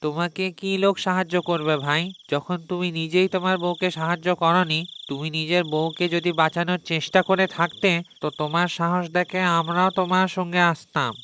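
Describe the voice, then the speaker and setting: A man's voice: high voice, flat delivery, brisk, few pauses, sharp tone, a little hiss or hum. A man voicing a sceptical, reproachful villager in a cartoon, scolding and argumentative. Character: sceptical, reproachful male villager, reluctant to help. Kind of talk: cartoon character acting.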